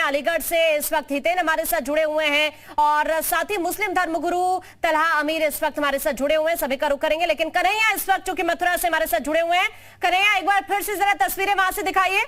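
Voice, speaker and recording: Lady news anchor doing live handovers, really high voice, talks fast. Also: a bit bright.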